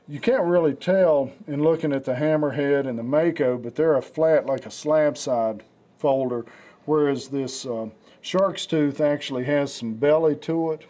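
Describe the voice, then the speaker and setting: A man with a hoarse, raspy voice from the flu, hosting a casual knife show with rambling talk and many fillers. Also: a little high, measured pace, warm tone. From United States. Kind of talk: casual product demonstration.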